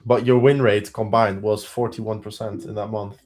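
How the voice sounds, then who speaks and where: Male streamer, heavy Belgian accent, lowish voice, unhurried, faint music underneath. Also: little pitch movement, a little mellow. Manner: unhurried, thinks aloud while counting trades. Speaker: day trading streamer. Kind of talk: live trading stream commentary.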